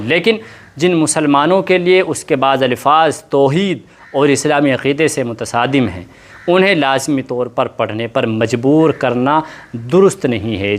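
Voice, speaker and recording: A man presenting news commentary in formal, Urdu-heavy diction, repeating points for emphasis. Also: mid-range voice. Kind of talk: news commentary mixing report and opinion.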